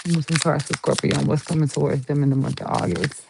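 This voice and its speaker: A woman's voice: sits low, fast, gravelly, noisy background. Chatty Southern woman reading tarot. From Southern United States. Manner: chatty, rambling, playful and lively. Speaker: tarot reader.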